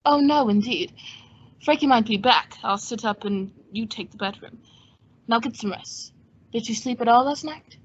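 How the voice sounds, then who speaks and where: A woman's voice: on the high side, normal speed, husky. A woman playing a suave, wisecracking male adventurer-detective in an old-time radio drama, with a wry, composed, teasing manner. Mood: smooth, teasing. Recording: dull, muffled lo-fi sound.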